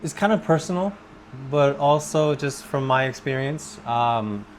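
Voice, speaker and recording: A man, from Korea, talking slowly and thoughtfully about his work as an English teacher in a personal vlog. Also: medium pitch, a little hiss or hum. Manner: slow, thoughtful, with pauses and fillers.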